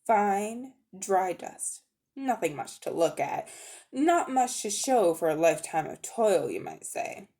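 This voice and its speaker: Storybook uncle: elderly, pompous amateur magician, very animated delivery female reader playing male. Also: mid-range voice, takes it slowly. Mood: rapt, secretive. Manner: pompous, self-important, easily affronted. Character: vain, pompous, grey-haired old uncle, an amateur magician.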